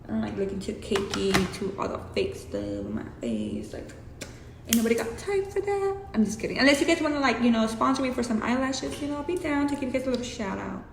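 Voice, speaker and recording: A young woman with a Mexican accent talks her way through a makeup tutorial, loose and profane, with chatty asides. Her voice sits a little high. The room is roomy with a long echo, and there is a steady hiss underneath. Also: quick pace, gravelly. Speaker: beauty vlogger.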